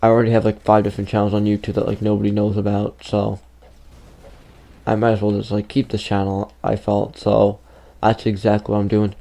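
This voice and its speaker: A man's voice: on the low side, monotone, a little fast, vocal fry, warm tone. A fifteen-year-old boy telling a rambling story over gameplay, full of fillers, while losing his voice. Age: teens. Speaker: gaming YouTuber.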